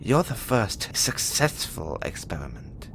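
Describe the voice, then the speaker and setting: Audio roleplay casting: male actor for a hulking captive experiment, swaggering yet bitter, slow-paced, bright forceful tone. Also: lowish voice, wildly swooping pitch, vocal fry, a little hiss or hum, full character voice. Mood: teasing, probing. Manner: teasing, swaggering, swinging from soft murmurs to loud outbursts. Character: huge imprisoned male experiment, cocky, bitter, volatile. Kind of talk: intimate audio roleplay monologue addressed to the listener.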